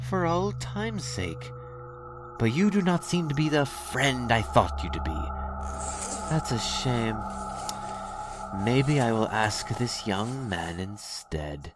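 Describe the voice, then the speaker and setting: An actor playing a sly, mocking alien raider lord in an audio drama, talking with mock politeness and theatrical menace. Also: male voice, medium pitch, sing-song, takes it slowly, over music. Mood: mock hurt. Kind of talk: scripted audio drama acting.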